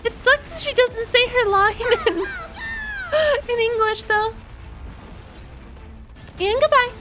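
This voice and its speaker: A woman's voice: very high voice, slow pace, sharp tone. A woman streaming a game with casual, playful, giggly live commentary. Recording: game music faintly behind the voice. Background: faint game music.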